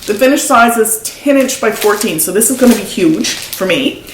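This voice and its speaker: A woman's voice: mid-range voice, lively intonation, normal speed. Chatty woman with a Newfoundland accent. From Newfoundland and Labrador, Canada. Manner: chatty and enthusiastic, gushing over crafts. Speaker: knitting podcast host. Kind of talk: casual show-and-tell chat. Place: at home. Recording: echoey room with faint noise.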